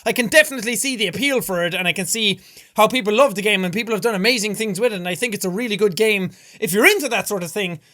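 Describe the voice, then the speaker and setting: A man with an Irish accent giving casual, excitable reaction commentary on his old gaming videos, with loud exclamations and casual swearing. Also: sits high, fast. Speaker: gaming YouTuber.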